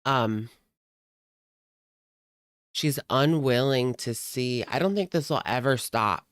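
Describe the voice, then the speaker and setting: Slow-talking, blunt reaction streamer, clear and dry. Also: mid-range voice, no echo. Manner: blunt, sarcastic, conversational. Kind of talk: casual live commentary.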